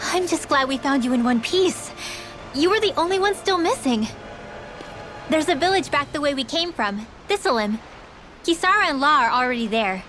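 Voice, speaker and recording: A woman's voice: high-pitched, expressive, normal speed, a bit bright, soft background music. A woman playing an earnest, chatty teenage girl companion in a fantasy game, casual and concerned. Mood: concerned, relieved. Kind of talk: video game voice acting.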